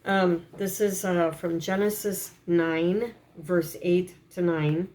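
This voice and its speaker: A woman with a froggy voice, hesitantly reading and explaining a religious text with many pauses and fillers. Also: lowish voice, steady tone, darkish tone, slight background noise. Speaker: religious teacher.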